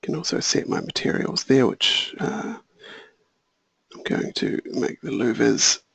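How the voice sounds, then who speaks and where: A man walking through a software tutorial, thinking aloud and correcting himself, a little hoarse. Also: medium pitch, unhurried, no room sound, rough recording. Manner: relaxed, thinking aloud, small self-corrections. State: slightly hoarse, clearing throat. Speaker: software trainer. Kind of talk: screen-share software tutorial.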